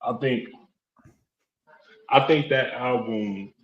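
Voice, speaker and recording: A man in his thirties co-hosting a casual hip-hop chat, measured and thinking aloud in long sentences. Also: mid-range voice. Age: 30s. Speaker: podcast co-host. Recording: dull, roomy mic sound.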